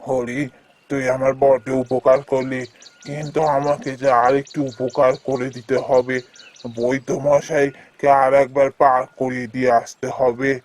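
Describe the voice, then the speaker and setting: A man voicing an earnest, kindly village gentleman in a cartoon fairy tale, speaking familiarly like a patron to a junior. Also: medium pitch, level tone, a little slow, lightly acted. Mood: grateful.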